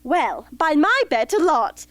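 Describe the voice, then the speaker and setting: Very high, chirpy, fussy escort. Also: female voice, sing-song, slow pace, a bit bright, no room sound, full character voice. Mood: eager. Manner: chirpy, theatrical. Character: bubbly, fussy, upbeat woman escort to young tributes. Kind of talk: amateur radio drama acting.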